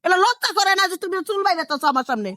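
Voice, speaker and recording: A very high-pitched, fast-talking village mother-in-law played by a woman with a rural Bangladeshi accent. She is easily scandalised and harangues in a stream of rhetorical questions, as in a domestic drama, recorded close and dry. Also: very animated, sharp tone, dry recording, full character voice. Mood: outraged. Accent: rural Bangladeshi Bengali. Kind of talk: improvised-sounding domestic drama acting.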